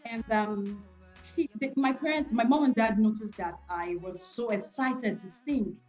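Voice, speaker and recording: A woman's voice: a little high, measured pace, a little mellow, faint music behind. A woman from Nigeria with a Nigerian accent, speaking earnestly and devoutly as a gospel singer being interviewed. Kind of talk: personal interview talk.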